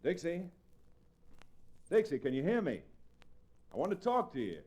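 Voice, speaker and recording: An actor playing a level-headed, wry lawyer hero in an old-time radio western. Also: male voice, a little high, very animated, moderate pace, mellow. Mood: exasperated. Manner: wry, reasoning.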